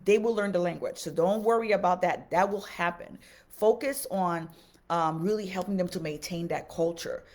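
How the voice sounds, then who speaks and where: A woman's voice: on the low side, normal speed, a little mellow. A woman from Haiti, a native Haitian Creole speaker, giving casual advice as a teacher on a live stream, earnest and repeating phrases for emphasis.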